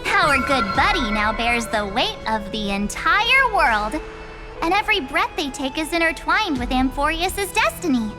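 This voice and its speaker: An actress playing a spirited young girl, earnest and playful, with an expressive delivery for a video game. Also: high-pitched, measured pace, music in the background. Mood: earnest, reverent.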